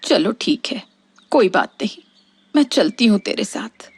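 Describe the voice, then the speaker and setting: A woman playing a hurt, plain-spoken elderly mother in a Hindi cartoon story, with a husky, breathy voice. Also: medium pitch, lively intonation, a little slow, no echo, full character voice. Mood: softening.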